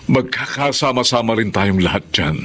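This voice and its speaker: A man's voice: fairly low pitch, lively intonation, moderate pace, fairly bright tone, slightly rough. A man playing a loving, self-sacrificing husband and father in an emotional Filipino radio drama, earnest and heartfelt. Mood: proud, longing.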